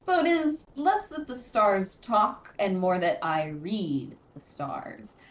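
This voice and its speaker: A woman with formal, measured phrasing, speaking in character as a player in a tabletop game. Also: medium pitch, sing-song, lo-fi sound. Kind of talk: in-character roleplay.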